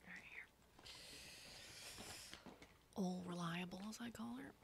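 A woman's voice: lowish voice, takes it slowly, creaky, heavy background noise. A woman playing a brash, wisecracking zombie-apocalypse survivor in a whispered ASMR horror roleplay, casual, rambling and sweary. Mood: wry, focused.

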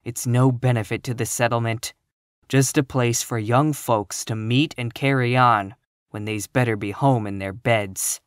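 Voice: medium pitch, measured pace, no room sound, studio quality, natural voice. A narrator voicing a grim, sour, scornful spinster in her fifties from a storybook, full of gloom and contempt. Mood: grim, disapproving. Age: 50s. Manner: grim, scornful, flat pronouncements.

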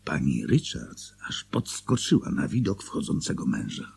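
A man narrating a Polish radio play in a dramatic, scripted storytelling style with a husky voice. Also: rumbling low, very animated, measured pace, a little mellow, full character voice.

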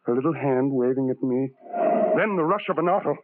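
An actor playing a lonely, self-loathing man in an old-time radio horror monologue, intense and halting, repeating phrases obsessively. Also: medium pitch, a little hiss or hum, lightly acted. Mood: urgent. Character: tormented, lonely, self-loathing ugly man recalling his past. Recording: tinny band-limited old radio, music behind.

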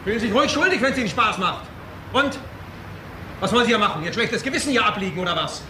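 Animated, brusque male boss. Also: sits high, fast talker, roomy sound, noisy background. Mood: scolding. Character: brusque but caring male boss, coaxing and scolding. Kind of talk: German crime radio drama acting.

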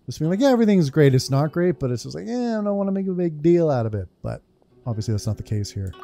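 Mellow-voiced man doing casual game commentary. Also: on the high side, very animated, fast talker, soft background music. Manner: informal, slangy, searching for words. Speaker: gaming streamer.

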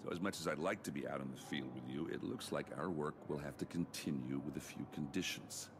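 An actor playing a middle-aged captive rogue agent in a video game, wry, resigned and understated. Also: male voice, a bass voice, fairly quick, noisy background. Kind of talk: naturalistic video game dialogue.

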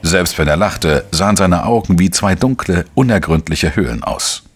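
An actor playing a dry, probing investigator who narrates a crime radio drama in a measured way. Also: male voice, low-pitched, animated, breathy edge. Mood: measured narrating. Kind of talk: scripted first-person crime narration and dialogue.

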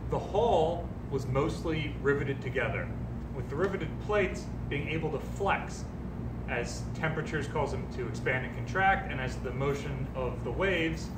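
Museum curator outdoors, distant mic. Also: male voice, fairly high pitch, a little slow, very noisy. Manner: steady, informative, points things out while crouching. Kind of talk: guided tour explanation. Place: outdoors on a battleship deck. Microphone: camera some distance away.

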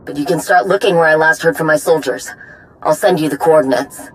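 A woman's voice: low-pitched, fairly quick, close to an everyday voice, low-quality recording. A woman playing a stern, vengeful warrior commander in a video game, speaking in terse, weighted lines. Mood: grim, businesslike. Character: grim, vengeful female Mandalorian warrior commander. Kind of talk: video game dramatic acting.

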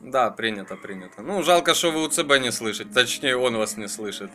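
A man's voice: medium pitch, lively intonation, brisk, sharp tone. A man chatting casually as a radio operator, in friendly colloquial language and clipped radio phrases. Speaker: amateur radio operator running an antenna test. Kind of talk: casual ham radio chat.

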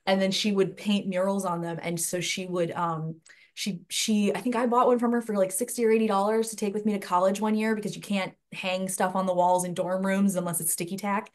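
A woman's voice: mid-range voice, steady tone, fairly quick. A young adult woman hosting an online panel from a room at home, speaking into a headset mic in a chatty, rambling way. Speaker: host.

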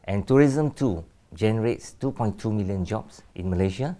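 Middle-aged man, official, lowish warm voice, explanatory. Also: lively intonation, moderate pace. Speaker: government official. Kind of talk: interview answers.

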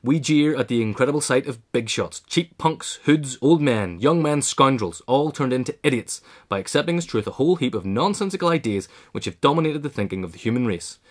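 A man's voice: medium pitch, lively intonation, brisk, hardly any pauses. A man reads a scripted biographical essay aloud as its narrator. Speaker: reader of an essay.

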